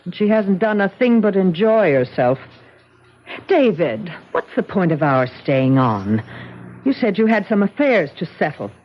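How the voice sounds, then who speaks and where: An actress playing a bitter, sarcastic, jealous wife, biting and mocking, in a 1970s radio drama. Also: female voice, lowish voice, big pitch swings, unhurried, a little hiss or hum. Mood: irritated. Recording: muffled old radio broadcast.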